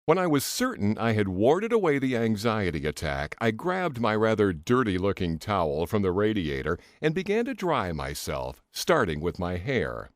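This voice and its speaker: A man's voice: lowish voice, normal speed, hardly any pauses, dry recording, studio quality. A man reading a comic novel as an audiobook in a theatrical, first-person dramatic style. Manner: theatrical, expressive delivery. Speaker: audiobook narrator.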